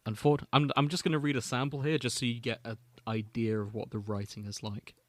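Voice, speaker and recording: A man co-hosts a casual fanfiction podcast, moving between chat and reading aloud. His voice sits at an ordinary male pitch. The sound is very dry, with no room around it. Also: level tone, brisk, dry recording. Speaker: podcast co-host.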